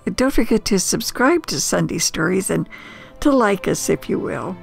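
An older American woman telling nostalgic personal stories as host, in a folksy, unhurried manner with emphatic pauses. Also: mid-range voice, expressive, vocal fry, soft background music. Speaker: storyteller and host.

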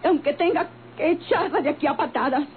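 Actress as high-voiced, imperious northern Mexican mother. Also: a little fast, close to an everyday voice. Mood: furious, bitter. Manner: blunt, imperious, melodramatic. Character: stern, bitter motel-owner mother, quick to anger. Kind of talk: old radio soap opera acting. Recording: tinny, noisy vintage radio recording.

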